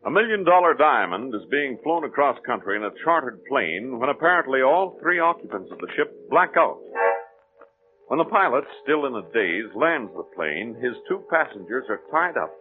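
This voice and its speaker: Male radio announcer over clear music. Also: medium pitch. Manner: measured, dramatic recap. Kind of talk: scripted narration. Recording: tinny old radio recording with clear music.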